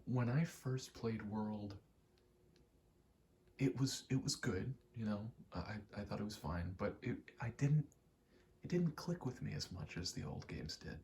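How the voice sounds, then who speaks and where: A man giving a rambling ASMR gaming commentary, halting and meandering as he thinks aloud while playing. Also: fairly low pitch, animated, gravelly, darkish tone, slight background noise. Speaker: gaming video creator.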